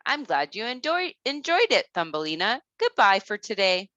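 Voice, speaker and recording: A woman's voice: medium pitch, big pitch swings, moderate pace, bright, forceful tone, dry recording, dull audio. A woman voicing a friendly, obliging male fish in a storybook, courteous and helpful. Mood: pleased.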